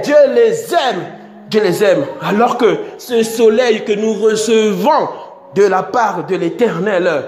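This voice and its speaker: Male preacher, high voice, repetitive exhorting delivery, roomy sound with soft music behind. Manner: repetitive, exhorting, swings between loud and soft. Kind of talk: sermon. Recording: echoey room with faint music.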